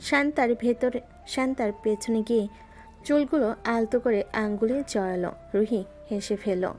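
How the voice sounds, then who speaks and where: A woman narrating a romantic audio story in a gentle, scripted storytelling style. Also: a little high, steady tone, normal speed. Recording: music bed underneath.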